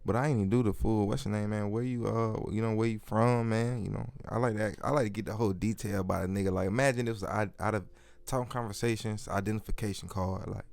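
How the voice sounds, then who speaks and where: A man's voice: lowish voice, steady tone, fast talker, vocal fry, darkish tone, no room sound. A man with an Atlanta accent hosting a casual podcast, rambling through stories in slangy, profanity-laced talk. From Atlanta area, United States. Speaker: podcast host.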